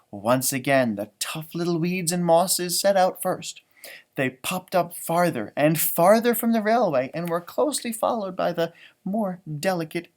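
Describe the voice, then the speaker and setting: An American man reads a picture book aloud to young children in a teacher's expressive way. He draws out key words for emphasis and slips between the written story and chatty asides. His explanations are simple and aimed at small listeners. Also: fairly high pitch. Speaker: elementary music teacher reading storybooks aloud.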